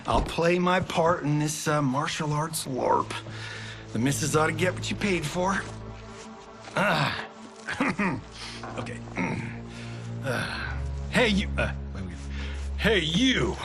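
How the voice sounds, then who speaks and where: An actor with an American accent playing a vain, sarcastic Hollywood action star in a video game, glib and wisecracking. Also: male voice, fairly high pitch, expressive, slow, long pauses, music in the background. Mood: amused, dismissive. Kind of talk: video game cutscene acting.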